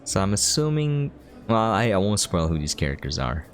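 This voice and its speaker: A man with a low-pitched voice talks through a video game as a streamer would. He is informal and explanatory, with faint music playing behind him. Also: very animated, moderate pace. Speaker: gameplay streamer, commentator. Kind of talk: casual game commentary.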